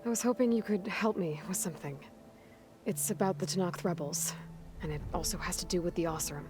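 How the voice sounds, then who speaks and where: An actress playing a determined, level-headed young warrior heroine in a video game, speaking earnestly and directly. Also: female voice, fairly low pitch, fairly quick, lightly acted. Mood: resolute. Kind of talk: video game dialogue acting. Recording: music behind the voice.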